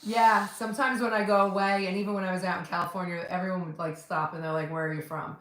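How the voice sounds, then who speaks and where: A woman's voice: lowish voice, little pitch movement, quick pace, fairly bright tone, far from the mic. Chatty New Jersey woman, echoey room. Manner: chatty, blunt, rambling. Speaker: podcast co-host. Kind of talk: casual chat.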